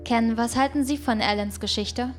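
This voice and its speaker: Inquisitive female reporter played by an actress for radio drama, higher-pitched, clear music bed underneath. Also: normal speed, close to an everyday voice. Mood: probing, composed.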